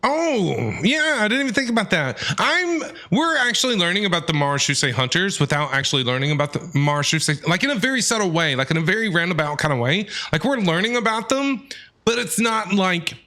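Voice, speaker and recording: A man's voice: high-pitched, big pitch swings, brisk. A man giving casual gameplay reaction commentary as a streamer, enthusiastic, informal and playful. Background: soft game music.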